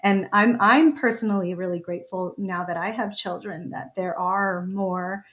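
A woman's voice: medium pitch, steady tone, normal speed, fairly bright tone. A woman in her thirties, the guest host of a podcast, talking in an affirming, conversational way with frequent fillers. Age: 30s. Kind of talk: casual conversation.

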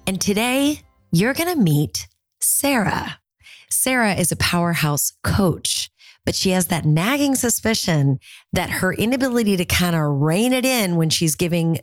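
A woman's voice: fairly low pitch, no echo. A woman in her late forties hosting a coaching call, with an upbeat, encouraging manner and playful asides. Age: 40s. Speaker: podcast host and speaking coach.

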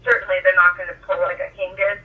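A woman's voice: on the low side, flat delivery, quick pace. A woman chatting casually about sport as a podcast guest, speaking emphatically and with strong opinions. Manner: emphatic, opinionated, swears freely. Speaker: podcast guest, tennis fan. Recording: muffled, distant remote-call sound.

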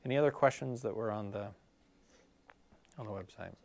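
Low-voiced man with a fading voice. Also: moderate pace, gravelly, a little mellow. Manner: explanatory, casual, with many fillers and restarts. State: losing his voice. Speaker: physical therapist leading a scoliosis workshop. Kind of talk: live-streamed workshop talk. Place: clinic room.

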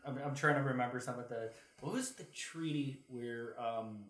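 A man co-hosting a casual history chat, haltingly searching for details as he talks, with a roomy echo around his voice. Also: mid-range voice, fairly bright tone. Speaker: podcast co-host. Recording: roomy, echoing sound.

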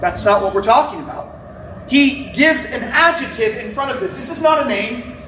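Loud-voiced preacher in a roomy church. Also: male voice, very high-pitched, animated, moderate pace, slight background noise. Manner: loud, conversational preaching with asides to the congregation. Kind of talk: sermon. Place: church sanctuary. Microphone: no microphone, recorded from a distance. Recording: roomy, distant church recording.

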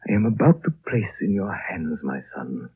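An actor playing an ancient, dying High Lama in a 1930s radio drama, gentle and prophetic, speaking solemnly in a frail, husky, hushed voice. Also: male voice, big pitch swings, full character voice. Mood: tender, solemn. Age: older. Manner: slow, solemn, hushed, with long pauses. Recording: muffled, band-limited 1930s radio recording.

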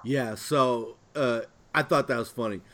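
A man with a mid-pitched voice co-hosts a podcast. He chats casually and loosely, rambling as he works his way through a thought. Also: a little slow, a bit bright. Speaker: podcast co-host. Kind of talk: casual chat.

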